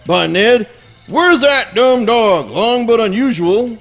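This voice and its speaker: A man's voice: very high-pitched, expressive, slow, a bit bright, lo-fi sound, faint music behind, exaggerated voice. A man playing a pompous, melodramatic, devoted hound dog in a comic radio play, declaiming in florid theatrical style. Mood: pompous, puzzled.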